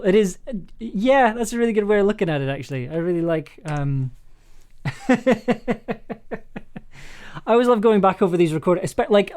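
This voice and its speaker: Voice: medium pitch, a little mellow. A streamer editing audio live, talking casually and hesitantly, half to themselves. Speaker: streamer and audio drama editor.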